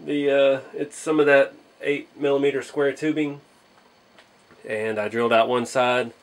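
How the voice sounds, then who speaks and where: A man talks through a hands-on hobby project in a casual vlog style. He sounds unassuming and a little hesitant, working out his thoughts aloud as he goes. His pitch sits in an ordinary middle range for a man. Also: little pitch movement, a little slow. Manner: modest, hesitant, thinking aloud. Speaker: hobby channel host.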